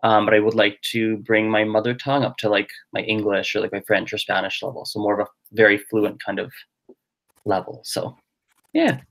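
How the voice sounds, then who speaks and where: A man's voice: on the low side, steady tone, moderate pace, a bit bright, poor audio. A young adult man whose first language is Gujarati, chatting as a guest in a casual interview, thinking aloud with lots of fillers. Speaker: guest language teacher and podcaster.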